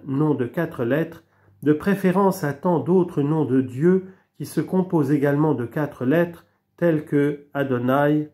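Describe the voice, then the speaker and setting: A man slowly reading aloud from an old theology book, measured and deliberate, with small restarts. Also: a little high, warm tone. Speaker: reader of a religious book. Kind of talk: slow read-aloud of a theology text.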